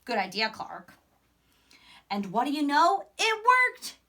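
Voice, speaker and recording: A woman's voice: high voice, wildly swooping pitch, slow pace, bright, forceful tone. A young woman teacher reading aloud to children expressively, with chatty asides, in a classroom. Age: young adult. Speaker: primary school teacher. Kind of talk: animated children's read-aloud. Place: small indoor classroom.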